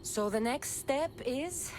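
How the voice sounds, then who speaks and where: An actress playing a principled, impatient Greek heroine in a video game, wry and direct. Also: female voice, high-pitched, lively intonation, slow pace, darkish tone, a little hiss or hum, rough recording. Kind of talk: video game cutscene acting.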